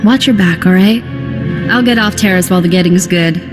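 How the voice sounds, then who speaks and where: A woman's voice: lowish voice, a little fast, natural voice. Woman acting a plain-spoken smuggler over music. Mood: sincere. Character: tough, loyal female relic smuggler, plain-spoken. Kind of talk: video game voice acting. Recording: music bed behind voice.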